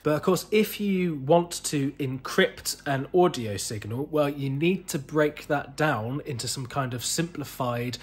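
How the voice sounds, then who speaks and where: A young man presenting an informal explainer to camera in a chatty, wry, animated way, with a husky voice. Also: a little high, measured pace, hardly any pauses. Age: young adult. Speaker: museum video presenter. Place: indoor museum rooms full of synthesizers.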